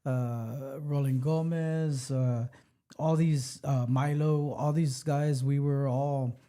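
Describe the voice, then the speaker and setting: A man's voice: medium pitch, fairly even intonation, takes it slowly, dark tone. A musician from Corpus Christi, Texas, a man talking in a dry, joking, matter-of-fact way. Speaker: guest musician. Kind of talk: casual interview.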